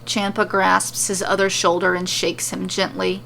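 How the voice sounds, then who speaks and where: Woman storyteller, expressive scripted narration, creaky voice, background noise. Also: mid-range voice, measured pace, low-quality recording.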